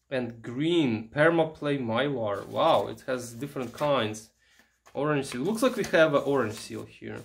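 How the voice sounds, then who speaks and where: Male vlogger, mid-pitched, casual and halting show-and-tell, thinking aloud, some background noise. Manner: halting, musing aloud while handling items. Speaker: vintage electronics vlogger.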